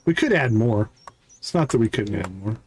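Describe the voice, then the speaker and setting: A man's voice: mid-range voice, very animated, moderate pace, darkish tone. A man live streaming a video game, giving casual commentary as he thinks aloud and chats to viewers.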